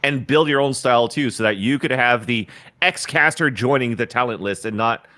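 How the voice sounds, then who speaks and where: Bright-voiced man giving casting advice. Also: medium pitch, normal speed, no room sound. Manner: earnest, advice-giving, swears casually. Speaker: esports commentator, guest. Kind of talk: casual podcast chat.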